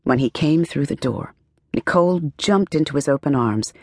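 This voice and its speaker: A woman narrating a literary novel as an audiobook, with measured, expressive storytelling and careful phrasing. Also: deep voice, breathy edge, no room sound, clean studio sound. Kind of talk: scripted literary fiction narration.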